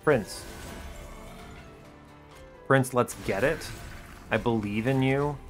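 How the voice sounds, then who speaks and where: A man's voice: mid-range voice, slow pace, faint music behind. A man giving casual, explanatory video game commentary in an informal way, often checking in with the listener. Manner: informal, animated bursts, checks in with rhetorical "right?" and "okay?". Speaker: video game streamer, series host.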